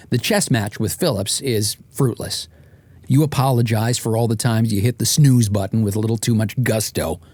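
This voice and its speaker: A man's voice: medium pitch, a little mellow, no room sound. Dry-recorded man narrating a wry audiobook. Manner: wry, comic storytelling with exasperated emphasis. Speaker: author and podcast host narrating.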